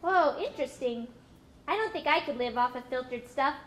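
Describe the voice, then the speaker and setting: Naive young whale puppet, female actor: extremely high, exclamatory, live recording with faint noise. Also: animated, moderate pace, a bit bright. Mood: curious. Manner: wide-eyed, hesitant exclamations.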